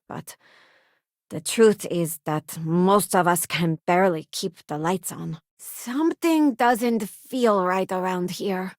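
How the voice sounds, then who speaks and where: A woman's voice: lowish voice, no room sound. Game companion: young working-class female spacefarer, principled, frank, conversational. Dry recording. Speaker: video game companion character. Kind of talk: video game voice acting, short character lines.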